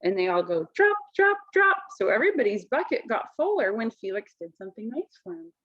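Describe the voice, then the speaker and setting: A woman reads a children's story aloud in a high, lively voice that moves up and down a lot. She explains things simply and expressively. The sound is slightly dull. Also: a little fast. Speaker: children's picture book reader.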